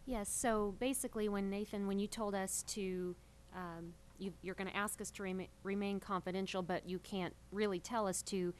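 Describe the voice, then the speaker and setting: A woman's voice: medium pitch, fairly even intonation, moderate pace, slight background noise. A woman speaking as a council member at a public meeting, earnest and roundabout in her phrasing.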